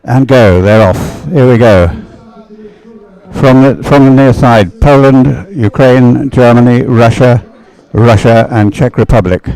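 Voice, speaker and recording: A man giving live, unscripted sports commentary as a race commentator, with a halting, rambling delivery full of hesitation. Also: mid-range voice, a little mellow, rough recording.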